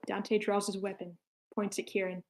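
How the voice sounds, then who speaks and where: A woman's voice: mid-range voice, level tone, moderate pace, darkish tone, a little hiss or hum. A woman narrating the stage directions of a screenplay table read in short, matter-of-fact sentences.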